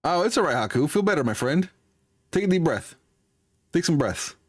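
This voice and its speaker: A man's voice: on the high side, sing-song, normal speed, husky, no echo. A man chatting casually as a livestreamer, in an amused way, often repeating himself. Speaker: livestreamer playing a game.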